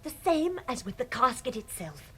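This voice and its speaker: A woman's voice: on the high side, very animated, normal speed, bright, forceful tone, slightly rough, exaggerated voice. A woman acting in a radio drama as a defiant, outraged archaeologist's daughter who scorns treasure thieves. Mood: insistent, scornful.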